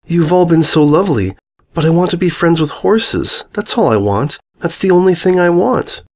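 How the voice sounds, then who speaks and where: A man's voice: fairly high pitch, lively intonation, moderate pace, a little mellow, voice pushed up. A man voicing a wistful, stubborn young girl in a storybook, pleading with emphatic repetition. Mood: apologetic, earnest. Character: young girl Mia, wistful, stubborn, longing for wild horses.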